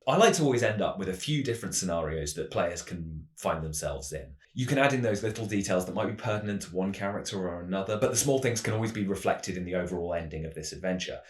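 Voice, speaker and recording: Male tabletop game presenter, low-pitched, measured explanatory storytelling, roomy echoing sound. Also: expressive. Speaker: tabletop roleplaying game channel host. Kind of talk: semi-scripted explanatory narration.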